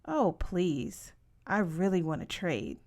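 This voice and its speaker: A woman's voice: on the low side, big pitch swings, slow pace, darkish tone, exaggerated voice. A woman plays a female PE coach in a story reading. She is kind and upbeat. Her manner is friendly and coaxing, like everyday speech with extra lift.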